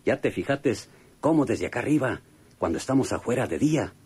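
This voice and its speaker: Folksy, scheming treasure hunter for radio drama. Northern Mexican accent, husky, very lively delivery. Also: male voice, medium pitch, a little fast. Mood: scheming, explaining. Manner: folksy, chuckling, exclamatory. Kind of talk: old radio drama acting.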